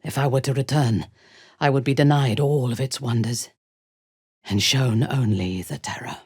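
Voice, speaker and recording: A woman playing a ruthless, bloodthirsty warrior commander in a video game, with a husky, breathy voice and haughty, formal, biting delivery. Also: a bass voice, a little mellow, dry recording, studio quality. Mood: intimate, hushed. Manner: haughty, measured, formal diction with biting disdain. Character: ruthless, imperious female warrior and cult commander, bloodthirsty, darkly amused. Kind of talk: video game character dialogue.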